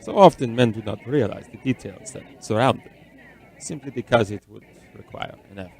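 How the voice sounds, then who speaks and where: An actor in a radio drama playing a weary, secretive, centuries-old immortal man who speaks in formal, measured, eloquent phrases. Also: mid-range voice, expressive, vocal fry, darkish tone, a little hiss or hum. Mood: courteous, guarded. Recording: orchestral film music underneath.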